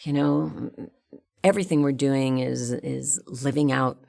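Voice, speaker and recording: A woman's voice: a bass voice, fairly even intonation, unhurried, gravelly, a little mellow. An older woman, a guest in an interview, talking frankly and thinking aloud with fillers. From United States. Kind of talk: casual interview chat.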